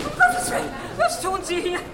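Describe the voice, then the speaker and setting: A woman's voice: very high-pitched, big pitch swings, normal speed, heavy background noise. An actress playing a distraught, guilt-ridden mother and landlady with urgent exclamations in a radio drama. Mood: panicked. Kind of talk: German radio drama acting. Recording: echoey hall, loud crowd and music behind.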